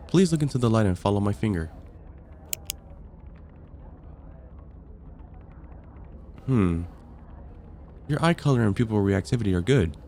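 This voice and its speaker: Low-voiced, composed vampire counselor played by a man. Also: darkish tone, a little hiss or hum. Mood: official, calm. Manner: measured, clinical, procedural. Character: composed male vampire counselor, decades on the job, matter-of-fact. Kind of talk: ASMR roleplay.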